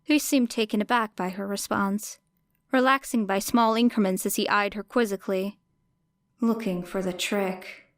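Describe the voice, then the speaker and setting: A woman's voice: mid-range voice, fairly even intonation, moderate pace, fairly bright tone, no echo, clean studio sound. A woman reading scripted fiction as an audiobook narrator.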